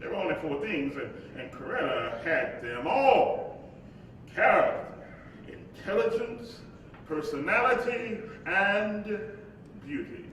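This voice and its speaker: A man acting a playful, devoted young husband in a staged play reading, with a halting, storytelling delivery. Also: sits high, lively intonation, fairly bright tone, full character voice. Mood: fond, reminiscing. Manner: halting, repeats words, chuckling delivery. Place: large echoey room, live staged reading. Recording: very echoey live hall, distant mic, faint noise.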